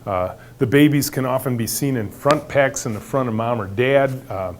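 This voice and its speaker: Booming animated male storyteller. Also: normal speed, a little hiss or hum. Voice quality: big booming voice. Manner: animated storyteller acting out dialogue, some restarts. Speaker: company leader giving a talk. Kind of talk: storytelling talk to an audience.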